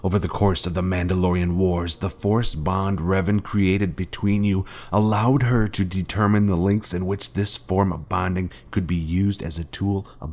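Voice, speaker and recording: A very deep male voice carries an actor's performance of a grave fallen-order Jedi mentor. He is solemn and deliberate, posing weighty rhetorical questions in the scripted style of a dramatic video game. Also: a little mellow. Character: grave former Jedi Master confronting his fallen Padawan. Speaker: game character.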